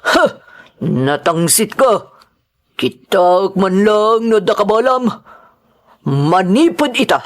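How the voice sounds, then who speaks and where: A man's voice: high voice, lively intonation, slow pace, pauses often. A man playing an arrogant, bullying male coworker who taunts and threatens, in an audio drama. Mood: taunting.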